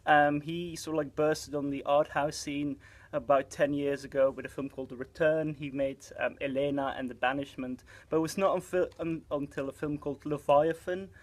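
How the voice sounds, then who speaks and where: A man's voice: fairly high pitch, fairly even intonation, normal speed. Belgian man chatting about films. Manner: hesitant, many fillers. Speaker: cinema box office supervisor. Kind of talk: casual film chat.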